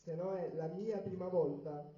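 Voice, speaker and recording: A man's voice: sits high, fairly even intonation, a little slow, dark tone, slight background noise. A man interpreting a sermon phrase by phrase, with occasional hesitations and restarts. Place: church hall. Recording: roomy, distant, dull church recording.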